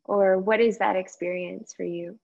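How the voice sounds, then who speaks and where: A woman teaching yoga meditation, speaking gently and unhurriedly in short phrases with long pauses between them. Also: mid-range voice, fairly even intonation, creaky, highs cut off. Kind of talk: guided meditation instruction.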